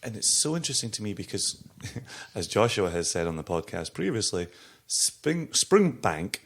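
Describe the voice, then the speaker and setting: Low-voiced, jokey podcast host. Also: male voice, sing-song, moderate pace, vocal fry, husky. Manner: jokey, friendly, frank. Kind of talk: conversational interview.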